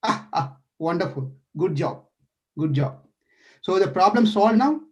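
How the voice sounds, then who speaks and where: A man's voice: high voice, lively intonation, measured pace. A man voicing a playful, caring male deity who eagerly questions a frightened sage in a devotional story. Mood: curious, eager. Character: playful caring divine lord, male, curious.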